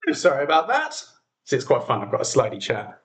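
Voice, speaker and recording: A man's voice: medium pitch, wildly swooping pitch, fast talker. A man with a runny nose hosting a casual livestream, talking in a chatty, self-deprecating way. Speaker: livestream host and audio drama maker.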